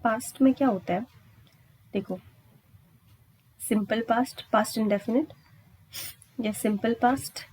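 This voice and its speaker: A woman's voice: a little high, a little slow, pauses often, a little mellow, slightly rough, rough recording. An Indian woman teaching grammar step by step, repeating points and answering her own questions with frequent check-in tags. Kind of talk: exam-prep lesson at a board, explaining step by step.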